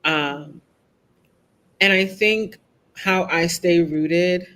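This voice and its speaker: A woman's voice: fairly low pitch, very slow, fairly bright tone. Candid, reflective woman guest. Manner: reflective and open, often tags "right?". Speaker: podcast guest. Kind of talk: candid conversational interview.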